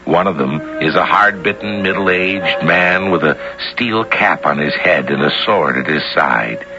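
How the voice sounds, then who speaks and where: Male radio theater host, low measured storyteller, dull vintage broadcast sound. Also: animated, music in the background. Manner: measured, storytelling delivery with dramatic emphasis. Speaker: host and narrator of a radio drama series. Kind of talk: 1970s radio drama hosting, scripted narration.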